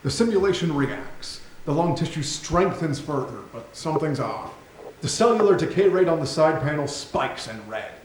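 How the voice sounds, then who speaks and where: Higher-pitched man reading stage directions. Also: lively intonation, normal speed. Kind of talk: scripted narration at a staged reading. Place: theatre stage. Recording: roomy theatre recording with faint noise.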